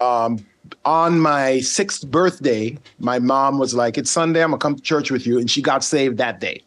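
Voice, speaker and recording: A middle-aged man from Saint Martin, a native Dutch speaker, talking earnestly as an interview guest with many pauses and ums. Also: medium pitch, fairly bright tone. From Saint Martin, Caribbean. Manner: thoughtful, frequent ums, earnest. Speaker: guest, ethical hacker. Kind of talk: casual interview conversation.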